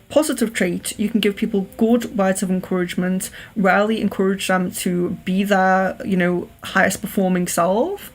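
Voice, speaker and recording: English woman w a bit of liverpool accent doing a palm reading stream, careful clear phone voice, lots of ums. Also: medium pitch. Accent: Liverpool, slight. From England. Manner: careful clear telephone voice, many ums and restarts. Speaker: psychic livestream host.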